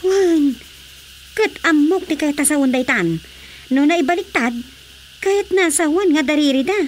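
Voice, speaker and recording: A woman's voice: high voice, animated, measured pace, slight background noise. A woman with an Ilocano Filipino accent playing a concerned, earnest daughter in a radio drama comedy. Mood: worried. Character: concerned young daughter, earnest peacemaker between her parents.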